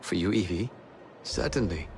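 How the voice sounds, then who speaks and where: A polite, scholarly Victorian gentleman in a game cutscene. His voice is on the low side and measured, with faint noise around it. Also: expressive. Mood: earnest, courteous. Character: courteous, scholarly Victorian gentleman ally of assassins. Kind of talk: video game cutscene acting.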